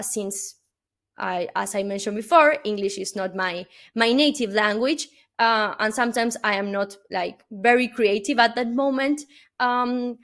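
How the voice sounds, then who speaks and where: A woman's voice: fairly high pitch, a bit bright. A woman from Argentina, a native Spanish speaker with an Argentine accent, giving an earnest webinar talk with frequent hesitations and a questioning "no?" after her points. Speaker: chief data officer giving a webinar talk. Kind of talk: conference presentation.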